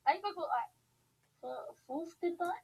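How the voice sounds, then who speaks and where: A woman's voice: extremely high pitch, lively intonation, a little slow, low-quality recording. A woman chatting casually as a co-commentator on an online show. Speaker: co-commentator and contestant. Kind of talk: casual chat.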